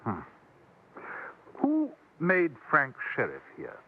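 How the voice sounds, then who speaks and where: An actor playing a courteous, coolly dangerous English gentleman adventurer in a 1950s radio western, speaking formally with a British accent on an old broadcast recording. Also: a little high, huge pitch swings, takes it slowly, breathy edge, narrow, tinny audio, exaggerated voice. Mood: gentle, probing. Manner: formal, polite, precise. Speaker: lead character and narrator.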